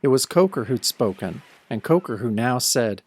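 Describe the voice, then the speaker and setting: A wry, world-weary man played by a male actor tells his own werewolf tale in the manner of an old radio horror show. His delivery is dramatic and brooding, with a sardonic edge, at a middling male pitch. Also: lively intonation, moderate pace, a little mellow, natural voice. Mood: weary, tense. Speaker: first-person narrator of an audio drama.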